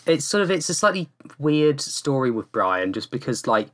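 A man with a mid-pitched voice talks casually on a podcast. His phrasing rambles and hesitates, feeling its way through each point. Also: sing-song, moderate pace, fairly bright tone.

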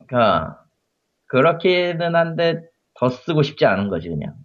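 A man's voice: a little high, very animated, moderate pace. A man in his thirties on a casual podcast, speaking bluntly and cynically. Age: 30s. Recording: lo-fi audio.

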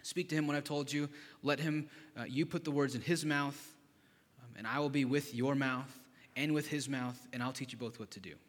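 Brief: man acting loud, emphatic all-powerful God voice in a reverberant hall. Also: medium pitch, fairly even intonation, moderate pace, natural voice. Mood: patient, instructing. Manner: loud, emphatic rhetorical questions. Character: exasperated booming male God, all-powerful creator. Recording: long reverb in large hall.

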